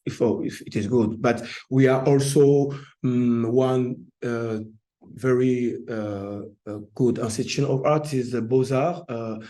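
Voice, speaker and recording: A man from Congo with a Congolese French accent, an artist presenting in an informal webinar, speaking haltingly and searching for words in imperfect English. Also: medium pitch, mellow. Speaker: artist presenting their work.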